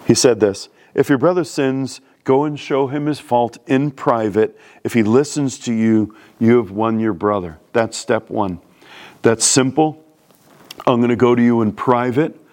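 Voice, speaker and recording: A man's voice: medium pitch, moderate pace, a little mellow. A man from New York City with a New York accent, preaching a sermon in a plain-spoken, conversational way. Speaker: pastor.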